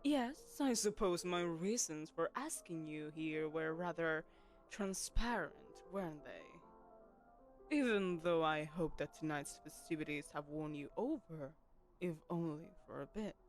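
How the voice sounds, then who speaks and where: A woman's voice: sits low, animated, a little slow, soft background music. A woman voicing a poised, commanding telepathic queen who hosts a lavish gala, speaking to the listener in a silken, condescending, persuasive way. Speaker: voice actress. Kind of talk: audio roleplay, spoken to the listener.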